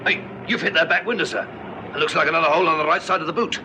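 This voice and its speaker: An actor playing an eager police constable at the wheel in an old radio drama, urgent and deferential. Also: male voice, on the high side, racing, pushed and bright. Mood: agitated. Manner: urgent, deferential to his superior. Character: eager police constable driving in a car chase. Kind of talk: 1960s radio drama acting. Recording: noisy old radio recording with car engine.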